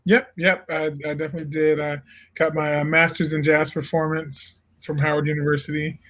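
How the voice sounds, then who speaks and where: A man's voice: on the high side, fairly quick. A man from Illinois, a jazz singer, chatting expressively as an interview guest. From Peoria, Illinois. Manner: expressive, emphatic storyteller. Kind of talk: casual interview chat.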